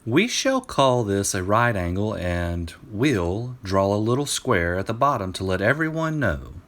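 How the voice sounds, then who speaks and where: A man's voice: fairly low pitch, very animated, measured pace, fairly bright tone. Man acting an eager, emphatic ancient Greek teacher. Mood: patient explaining.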